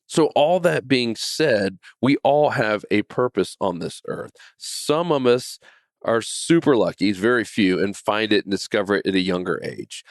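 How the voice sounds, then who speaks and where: A man's voice: on the low side, expressive, unhurried, no echo. Earnest man in his forties, dry close sound. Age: 40s. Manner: earnest, repeats words and restarts often. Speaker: podcast host. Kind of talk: casual faith talk monologue.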